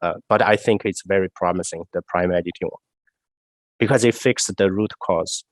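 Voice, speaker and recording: A researcher answers audience questions in a low voice that is nasal and congested from a cold. He speaks haltingly, with many fillers. The sound is dry and close. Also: dry recording. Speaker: research scientist presenting. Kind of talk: answering questions after a talk.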